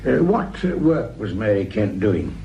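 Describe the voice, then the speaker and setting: An actor playing a polite, dryly joking police sergeant in a British radio crime drama, with an English accent and a hesitant, deferential way of talking. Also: male voice, fairly low pitch, very animated, a little slow, gravelly, warm tone, highs cut off, full character voice. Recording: noisy radio drama recording.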